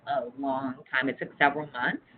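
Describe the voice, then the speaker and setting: A woman's voice: rumbling low, steady tone, normal speed. A woman being interviewed over the phone, talking casually and informally about her work, with lots of "like". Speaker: interviewee, community engagement program staffer. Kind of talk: casual research interview, conversational. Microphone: phone line. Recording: muffled lo-fi phone call, clear noise.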